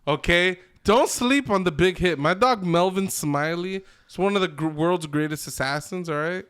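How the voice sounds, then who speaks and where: A man's voice: sits high, moderate pace, a bit bright, clean tone. A man hosting a podcast in casual, opinionated chat, emphatic and slangy, often punctuating points with a checking "okay?". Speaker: podcast host.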